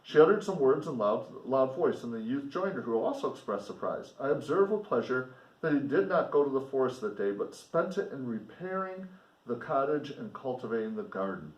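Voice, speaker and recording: A man reading a novel aloud as a teacher, in a deliberate, explanatory manner with casual asides. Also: mid-range voice, big pitch swings. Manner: deliberate, explanatory, pausing to comment for students. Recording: roomy echo, distant microphone.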